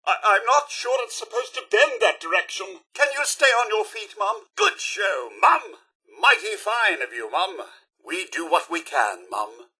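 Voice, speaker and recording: A man's voice: high-pitched, very animated, measured pace, bright, forceful tone, husky, heavily acted. A man playing a polite, fretful British robot butler in a video game, with prim, formal, theatrical delivery. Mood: worried, then courteous, dutiful. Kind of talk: game companion voice lines.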